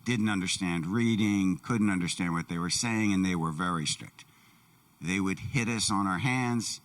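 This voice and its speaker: Deep-voiced male interpreter, heard from afar. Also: normal speed, fairly bright tone, distant mic. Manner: plain, brief answers. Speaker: interpreter voicing a deaf guest's signing. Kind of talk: voicing answers in an interview. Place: church sanctuary.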